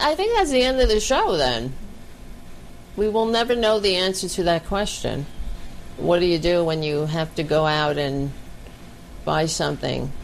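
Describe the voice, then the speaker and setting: A woman with a very low, deep voice hosts a casual online show over a video call. She talks bluntly and swears freely. A faint hiss of background noise sits under her voice. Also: poor audio. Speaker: podcast host. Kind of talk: casual livestream chat.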